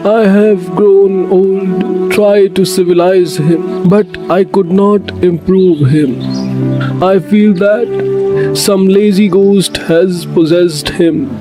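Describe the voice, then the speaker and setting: A man voicing a weary old father who talks gravely in a cartoon moral story. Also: high-pitched, steady tone, takes it slowly, over music.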